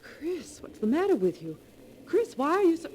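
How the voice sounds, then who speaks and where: An actor playing an earnest, folksy small-town acquaintance in a 1940s radio acting style. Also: male voice, extremely high pitch, huge pitch swings, normal speed, a little mellow, exaggerated voice. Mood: alarmed. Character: friendly small-town male acquaintance bearing grim news. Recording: noisy vintage 1940s radio recording.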